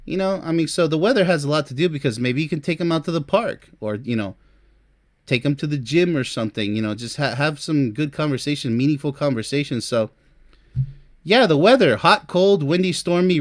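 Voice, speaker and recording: A man's voice: fairly high pitch, a little fast, no room sound, clean studio sound. A man giving informal relationship advice as a host, chatty and emphatic, speaking straight to the listener. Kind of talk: informal advice talk to camera, ranking topics.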